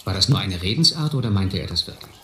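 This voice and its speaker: A middle-aged actor playing a reflective man who recalls his boyhood, narrating a radio play in measured, literary style. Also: lowish voice, expressive, a bit bright. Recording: echoey old recording, faint noise.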